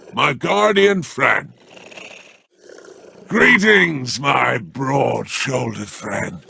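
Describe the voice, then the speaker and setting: A sly alien crime boss from a video game, with a deeply gravelly, growling voice. He slides widely up and down as he trades in mock courtesy and unhurried menace. There is a faint noise behind him. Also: bright, forceful tone, full character voice. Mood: scheming. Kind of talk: video game character voice lines.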